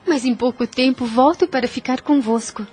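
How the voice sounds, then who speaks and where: High, tender young woman, Brazilian female actor. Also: moderate pace, a little mellow, faint music behind, close to an everyday voice. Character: devoted, gentle young woman caring for a friend. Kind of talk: audio drama acting.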